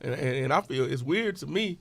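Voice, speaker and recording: A man's voice: a little high, expressive, fairly quick, gravelly, dry recording. A man in his forties from with a drawl, talking earnestly and emphatically as a podcast guest in casual street slang. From Houston, Texas. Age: 40s. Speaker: podcast guest, club owner. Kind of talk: casual conversation.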